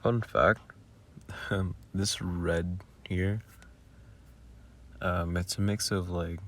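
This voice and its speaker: A man's voice: deep voice, fairly even intonation, drawn-out words. A man who makes audio roleplays, giving casual, rambling behind-the-scenes commentary with muttered asides.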